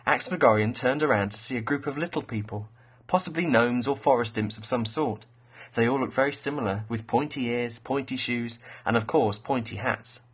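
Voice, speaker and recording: A man's voice: medium pitch, normal speed, a bit bright, slightly rough. A man, an author reading his children's fairy tale as a storyteller.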